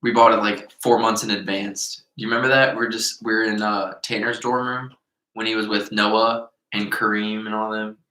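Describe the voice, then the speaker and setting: A man of about twenty, co-hosting a casual podcast chat, rambling and laughing often as he gets excited. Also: fairly low pitch, moderate pace. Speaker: podcast co-host. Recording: roomy echo.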